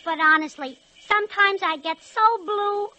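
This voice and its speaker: Needy American sweetheart locomotive: very high, sharp, slangy, hissy vintage radio. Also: female voice, normal speed, dry recording, dull audio, full character voice. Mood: lonesome, pleading. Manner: slangy, plaintive sweetheart talk. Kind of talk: 1940s radio play acting. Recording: hissy 1940s radio recording.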